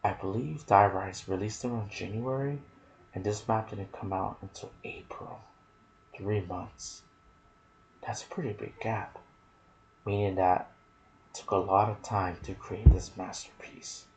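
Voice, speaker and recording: Male ASMR game narrator: lowish, unhurried, hushed phrasing, with noticeable ambient noise behind the voice. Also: rough recording. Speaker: video game lore narrator. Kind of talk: soft-spoken ASMR game commentary. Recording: clear background noise.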